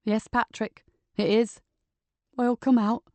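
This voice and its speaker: A woman's voice: on the high side, little pitch movement, slow pace, a little mellow, no room sound. A woman voicing a grieving male ex-convict, talking strained and close to tears in an audiobook. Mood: defeated. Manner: strained, pleading and near tears. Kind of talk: audiobook character voice.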